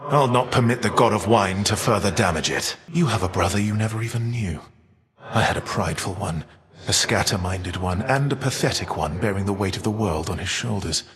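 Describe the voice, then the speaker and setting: Guy voicing a proud bitter titan for a video game, kinda deep, grave old-fashioned wording, big echoey reverb. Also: crowd noise, natural voice. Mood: disdainful, measured. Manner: formal, measured, grave archaic diction. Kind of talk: scripted video game character voice lines.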